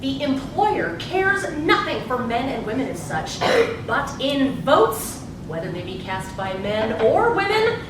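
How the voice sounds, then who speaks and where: A woman's voice: high voice, heavily acted. A woman playing a stirring, resolute suffragist orator giving a rally speech in a staged play. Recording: echoey hall from far off, noisy ambience.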